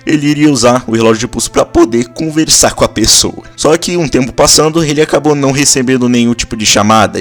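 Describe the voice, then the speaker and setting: A Brazilian man with a medium-pitched voice talks through an episode as an anime reviewer. His delivery is informal and conversational, like casual commentary. Music plays faintly behind the voice-over.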